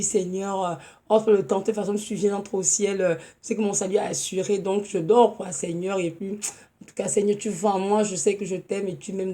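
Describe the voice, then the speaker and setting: A woman in her thirties with a cold and a hoarse voice, preaching an earnest, halting home sermon with many pauses and restarts. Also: medium pitch, mellow.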